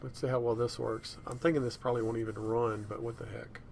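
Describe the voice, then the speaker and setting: Man rambling through a tech demo, slightly creaky. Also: medium pitch, flat delivery, fast talker, a little mellow. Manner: rambling, thinking aloud while working. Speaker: software tutorial presenter. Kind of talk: casual screencast demonstration. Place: indoors at a computer. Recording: noisy room with keyboard typing. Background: room noise and keyboard typing.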